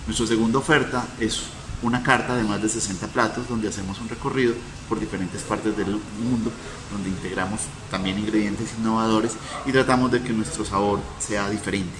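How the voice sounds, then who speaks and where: Low-voiced man, noisy. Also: steady tone, a little fast. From Colombia. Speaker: restaurant manager and cook. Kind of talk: promotional self-introduction.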